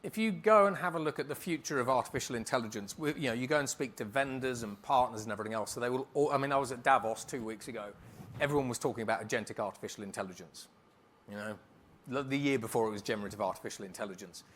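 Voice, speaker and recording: A man speaking as a keynote speaker, answering on stage in a conference hall in a brisk, emphatic way and rattling off lists. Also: mid-range voice, fairly bright tone.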